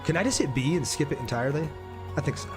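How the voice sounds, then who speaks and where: Game music plays clearly behind a young man with a slightly high voice. He streams in an informal, enthusiastic way, chatting with viewers and reading out their comments. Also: animated, quick pace.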